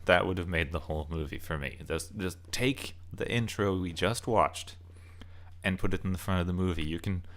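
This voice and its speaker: Deep-voiced man giving rambling commentary. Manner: rambling, opinionated, stresses key words. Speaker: video essayist and game reviewer. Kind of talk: casual loosely scripted commentary.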